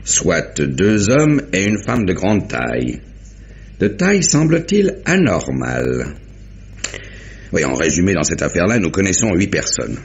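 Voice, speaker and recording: A gruff old-radio police inspector, low voice that swings around a lot, muttering, bossy. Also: male voice, sing-song, heavily acted. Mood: brisk, businesslike. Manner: talkative, deliberate summing-up with muttered interjections. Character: brisk, gruff, methodical male police inspector, married. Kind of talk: 1950s French radio mystery acting. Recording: dull, noisy vintage radio recording.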